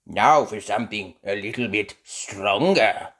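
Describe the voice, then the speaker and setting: Lady doing an old grandpa rabbit for a storybook, slow and hearty, really savours every word. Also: rumbling low. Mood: relishing.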